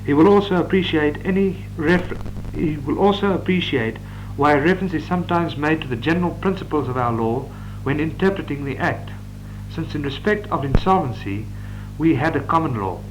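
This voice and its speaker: A man, reading out law course notes in a measured, dictating manner with occasional stumbles. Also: on the high side, animated, lo-fi sound. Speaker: law lecturer. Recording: old reel-to-reel tape with hum.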